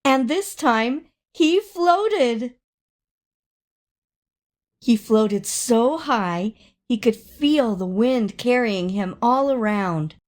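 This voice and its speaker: A woman reading a children's story aloud in an expressive, dramatic way, drawing out words for emphasis. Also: fairly high pitch. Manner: dramatic, with drawn-out emphasis and acted sound words. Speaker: storybook reader.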